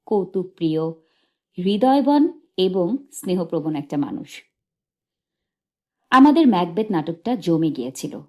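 A woman narrates a written memoir for an audiobook. Her voice sits a little low and has a dark, soft, very mellow tone. She reads in a measured, literary way, as a storyteller would.

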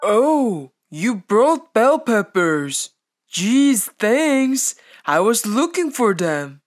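A kind, cheerful elephant who loves to cook in a children's puppet show, voiced by a woman. The speech is gentle and unhurried, with music playing behind. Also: fairly high pitch, big pitch swings, heavily acted. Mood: pleasantly surprised. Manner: gentle, cheerful, instructing simply. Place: studio voice-over.

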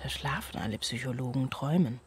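An actress playing a guilt-ridden single mother in a radio drama, speaking a poetic, deliberate inner monologue. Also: extremely low pitch, slightly rough, heavily acted. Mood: desperate, guilty. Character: guilt-ridden single mother searching for her son at night. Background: music.

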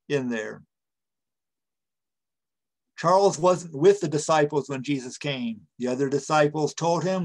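Slow-paced older male pastor preaching. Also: fairly high pitch. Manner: deliberate, pausing between short phrases. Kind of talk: sermon, reflective preaching. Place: small room at home, indoors. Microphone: computer webcam or video call microphone.

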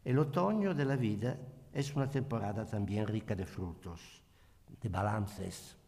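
Older Italian-accented lecturer, slow, mellow, reads reflectively; table mic, hall reverb. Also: male voice, on the low side. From Italy. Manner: slow, measured, reflective reading. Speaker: guest speaker. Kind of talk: lecture read from notes. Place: conference hall at a panel table. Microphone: table microphone.

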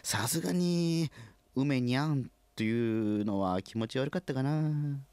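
Man acting flustered company president, middle-aged, sheepish, stammering, medium-pitched, dry close sound, radio comedy. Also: unhurried, a little mellow, clean tone, no echo, lightly acted. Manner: stammering, babbling repeated words, wheedling. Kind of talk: comedic radio drama dialogue.

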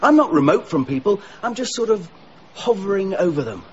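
Radio drama role: genial, dreamy husband, higher-set animated voice, slightly dull sound. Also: male voice, brisk, slight background noise. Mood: wistful. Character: genial, romantic husband, parish council chair.

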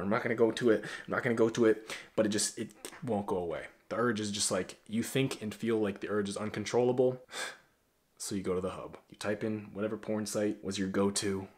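A man in his twenties giving blunt, profane self-improvement advice straight to camera in a small bedroom, often swinging from loud to quiet. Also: mid-range voice. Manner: blunt, profane, animated with big swings in loudness. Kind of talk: direct-to-camera advice vlog.

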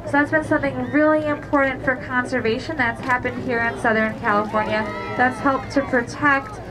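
A woman with a high voice explains calmly and patiently to passengers on a boat. Engine and wind noise stay loud under her voice. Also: normal speed. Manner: calm, explanatory. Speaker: whale watching tour naturalist. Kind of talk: educational tour commentary. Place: outdoors on a moving boat at sea.

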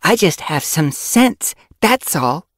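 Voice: mid-range voice, expressive, moderate pace, no echo, voice pushed up. A narrator voicing a sensible young female cat apprentice with a dry, scolding manner in an animal storybook. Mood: stern, angry.

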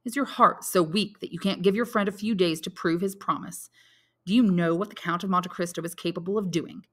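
A solemn, commanding count, as a woman reader voices him, slow and measured, persuading with quiet authority. The voice sits lowish for a woman. It stays steady and grave.

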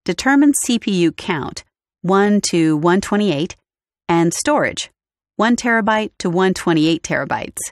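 A woman with a lowish voice gives a calm, scripted software walkthrough. She reads each step clearly and evenly, like a studio voice-over. The sound is dry and close. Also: a little slow, no room sound. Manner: clear, even instructional delivery. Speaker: tutorial narrator. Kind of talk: scripted product walkthrough narration.

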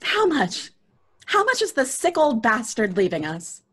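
A woman's voice: on the high side, wildly swooping pitch, measured pace, breathy edge, full character voice. A woman playing an angry, frightened, foul-mouthed young daughter who stammers in a horror audio drama. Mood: shocked. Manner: agitated, stammering, sweary. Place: home, on a video call.

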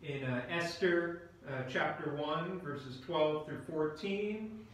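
A pastor, a man in his fifties, preaching a sermon in a halting, deliberate way with repeated words and pauses, inside a church. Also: fairly high pitch, a little hiss or hum. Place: indoors in a church at the front by a screen. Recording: very echoey church room.

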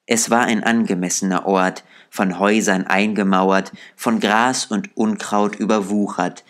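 A man reading an audiobook aloud in calm, measured storytelling narration. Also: lowish voice. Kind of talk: scripted narration.

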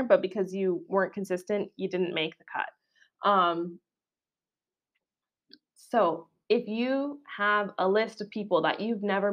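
A young woman with a Canadian accent, hosting a solo podcast in a casual, chatty way with frequent fillers. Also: medium pitch. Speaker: podcast host.

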